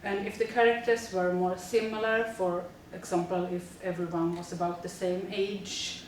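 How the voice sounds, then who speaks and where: Woman, teacher presenting, steady with fillers, distant mic, booming echo, faint hiss. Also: moderate pace. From Sweden. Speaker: teacher presenting a school project. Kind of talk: reading prepared narration.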